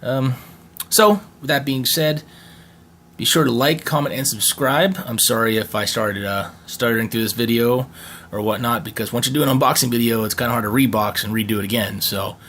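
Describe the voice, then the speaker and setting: A man with a raspy voice casually talking through an unboxing, joking to himself, with plenty of fillers and restarts. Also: medium pitch, fairly quick, a bit bright, slight background noise. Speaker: channel co-host doing an unboxing. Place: home gaming room.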